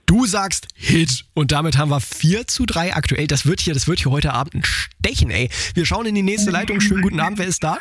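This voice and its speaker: A man hosting a radio call-in show, chatting cheerfully and quickly with callers. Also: a little high, expressive, a bit bright, slightly rough. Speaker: radio host. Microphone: studio microphone.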